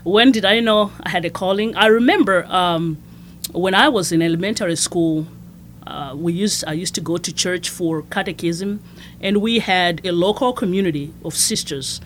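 Soft-spoken woman from Zimbabwe, a nun. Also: fairly low pitch, moderate pace, a little hiss or hum. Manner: soft-spoken, hesitant with many fillers and restarts. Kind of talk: conversational interview.

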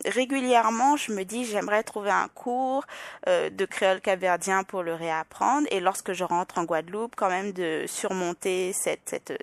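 Gravelly low-voiced Parisian French woman. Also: no echo. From Île-de-France, France. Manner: thoughtful, with frequent restarts and hesitations. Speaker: podcast guest. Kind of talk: reflective personal interview.

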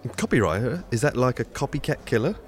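A man's voice: mid-range voice, expressive, a little fast, natural voice. An actor playing an awkward, tactless British man on a first date in an audio drama, joking clumsily and bluntly. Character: awkward, tactless male first-date novice, foot in mouth.

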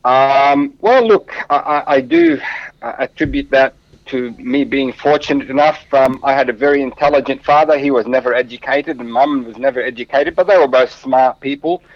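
A man's voice: medium pitch, moderate pace, dry recording, lo-fi sound. Earnest man with an Arabic accent. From Lebanon. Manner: earnest, warm storytelling with frequent restarts. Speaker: guest. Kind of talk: interview answers.

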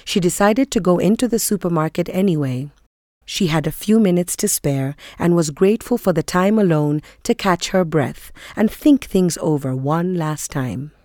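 A woman's voice: lowish voice, moderate pace, warm tone, dry recording, clean studio sound, close to an everyday voice. A woman reading scripted narration from a novel.

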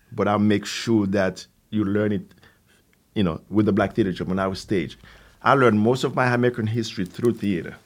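A man in his sixties with a Haitian accent, answering interview questions conversationally in a halting way with repeated words and asides. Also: on the low side. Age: 60s. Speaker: theater company founder, guest.

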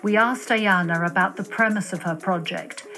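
A woman narrates scripted introductions in a measured, formal way and puts questions to a guest. Faint music plays quietly behind her voice. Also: medium pitch, poor audio. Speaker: interviewer and narrator.

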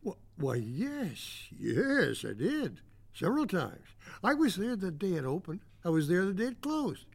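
An elderly, old-fashioned man in a satirical audio drama. His voice is gravelly and lively in pitch. He rambles along in a folksy, courteous, slightly hesitant manner. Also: unhurried, full character voice. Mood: nostalgic. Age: older.

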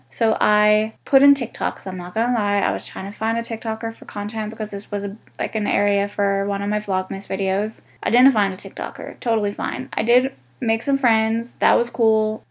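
A woman in her twenties with a stuffy, congested nose, chatting candidly to camera as a vlogger, swearing freely and rambling. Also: mid-range voice. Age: 20s. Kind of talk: casual chat to camera.

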